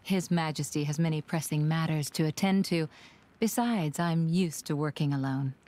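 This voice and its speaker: A woman's voice: low-pitched, normal speed, dark tone, lightly acted. An actress playing a cool, self-assured, independent woman warrior in a video game, composed and dry in manner. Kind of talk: video game cutscene acting.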